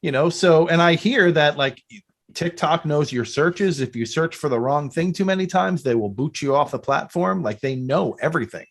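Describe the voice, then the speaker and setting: A middle-aged man chatting casually as a podcast guest, telling rambling, animated stories. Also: fairly high pitch, normal speed. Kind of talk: casual interview chat.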